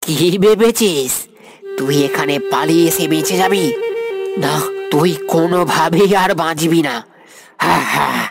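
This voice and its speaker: A man playing the vengeful ghost of a young lover in an animated horror story, speaking obsessively with repeated phrases. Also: sits high. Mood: menacing, vengeful. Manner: menacing, drawn-out threats with repeated phrases. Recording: music behind the voice. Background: clear music.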